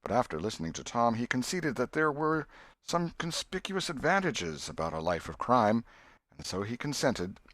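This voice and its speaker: A man reading a classic novel aloud as scripted narration, in a measured, expressive storytelling manner. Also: mid-range voice, no room sound, clean studio sound. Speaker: audiobook reader.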